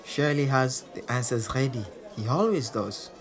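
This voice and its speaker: A man's voice: medium pitch, animated, moderate pace, faint music behind, natural voice. An actor playing a proud, defiant world champion boxer who narrates his own story in a boastful, wry way for an audio drama. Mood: indignant, proud. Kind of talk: audio drama monologue and dialogue. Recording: clear background noise and ambience.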